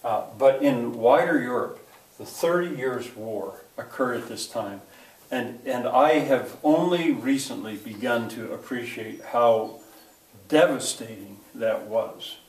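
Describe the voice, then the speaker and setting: A man's voice: medium pitch, a little mellow, distant mic. Older man lecturing in an echoey room. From United States. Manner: halting, full of fillers and restarts. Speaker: teacher. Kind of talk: lecture to a class.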